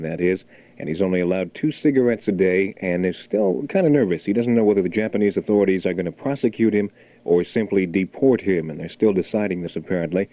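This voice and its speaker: A man hosting a morning rock radio show, talking in a laid-back, conversational DJ style through announcements. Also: sits low. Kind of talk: album rock radio DJ patter with local announcements. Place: radio studio. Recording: muffled phone-like 1980 radio aircheck, music behind. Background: music.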